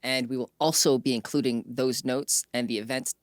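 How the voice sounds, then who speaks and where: Male interview host. Conversational questioning and segment intros, medium pitch, dry recording. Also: fairly even intonation, normal speed, no echo.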